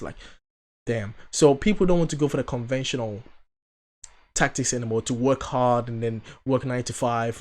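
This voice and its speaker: A man in his thirties hosts a reaction video. He chats at a middling pitch, wandering off on tangents and teasing with playful sarcasm. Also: a little mellow. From Ghana. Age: 30s. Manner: chatty, rambling, playfully sarcastic. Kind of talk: casual reaction commentary.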